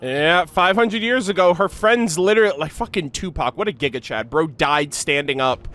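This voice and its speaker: A young man giving casual, slangy reaction commentary on a game, with a playful, excitable manner. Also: on the high side, normal speed.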